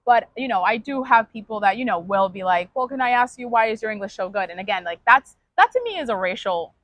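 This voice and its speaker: A woman's voice: mid-range voice, lively intonation, fast talker, fairly bright tone, no echo. A woman speaking frankly and casually in an interview, swearing now and then. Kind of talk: candid interview.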